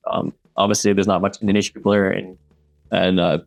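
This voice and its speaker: Young man co-hosting a laid-back podcast, deep-ish voice, rambling, hesitant delivery with many fillers. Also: flat delivery, fast talker, creaky, dull audio, soft background music. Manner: hesitant, full of fillers, laughs often. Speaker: podcast co-host. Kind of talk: casual podcast chat.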